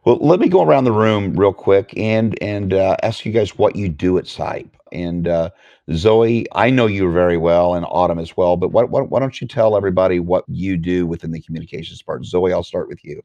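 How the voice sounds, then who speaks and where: Very low-voiced male podcast host. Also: moderate pace, warm tone, no room sound, dull audio. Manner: friendly, repeats words while asking questions. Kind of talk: casual conversational interview.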